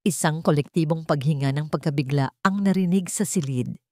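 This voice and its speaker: A woman narrating a scripted dramatic love story in a theatrical, deliberately phrased way. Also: low voice, warm tone, no room sound.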